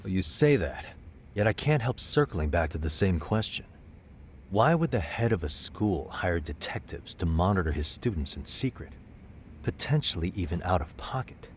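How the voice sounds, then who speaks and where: A man playing a composed, probing private detective in an English-dubbed game cutscene, speaking in a measured, pointed way. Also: low voice, lively intonation, a little hiss or hum. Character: composed, probing male private detective, adult, dryly confident.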